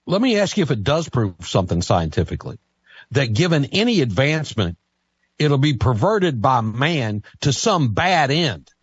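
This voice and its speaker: A man's voice: mid-range voice, very animated, normal speed, husky, dry recording. A man hosting a live radio talk show in a casual, conversational style.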